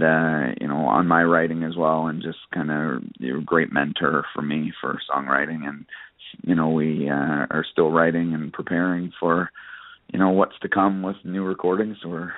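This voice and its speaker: A narrow, dry phone-like connection carries a very low-voiced man. He is a musician answering interview questions casually, pausing and hesitating often as he goes. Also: no room sound. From Canada. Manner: halting, frequent hesitations and you-knows. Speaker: country singer being interviewed.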